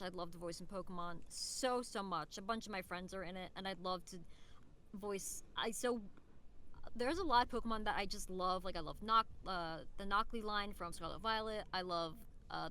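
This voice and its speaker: A young woman with a very bright, forward voice talks as a podcast guest. She is casual and eager, rambling through her thoughts and dropping "like" in often. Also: mid-range voice, normal speed, a little hiss or hum. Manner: enthusiastic, rambling, peppered with "like".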